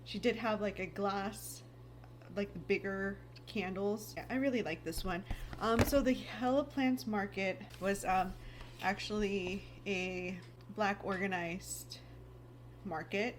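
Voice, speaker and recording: Casual woman vlogger at home, kinda raspy, rambly with lots of "like", soft hum in back. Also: mid-range voice, slow. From United States. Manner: chatty, rambling, lots of "like" fillers. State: slightly raspy throat. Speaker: lifestyle vlogger. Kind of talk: casual vlog chat. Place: home, indoors.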